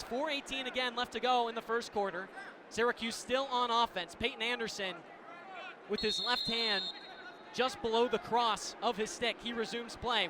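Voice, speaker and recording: High-pitched male play-by-play announcer, noisy background. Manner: clipped present-tense phrases, rising to excited calls. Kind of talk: live lacrosse radio commentary. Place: live broadcast at a sports venue. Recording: noisy outdoor stadium ambience.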